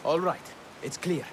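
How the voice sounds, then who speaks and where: A man's voice: fairly high pitch, background noise. An actor playing a furtive, eager male informant in a video game, polite, theatrical and conspiratorial. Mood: excited, conspiratorial. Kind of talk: video game cutscene acting.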